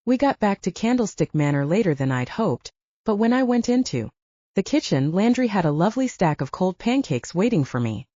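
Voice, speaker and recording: A woman's voice: mid-range voice, sing-song, fairly quick, mellow, no echo. A woman reading first-person fiction as a scripted audiobook narration. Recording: dull lo-fi, very dry.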